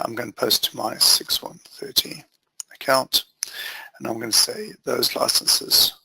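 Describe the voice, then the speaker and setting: A man presenting an instructional software demo as a trainer, explaining methodically step by step in short phrases. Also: mid-range voice, vocal fry, breathy edge, no echo, low-quality recording. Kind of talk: instructional screen-share walkthrough.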